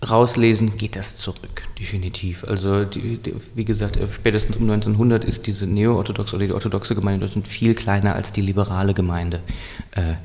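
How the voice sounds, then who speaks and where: A man answering interview questions in a measured, explanatory way, often restarting his sentences. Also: lowish voice, vocal fry, a little mellow, in a large hall. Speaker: historian guest.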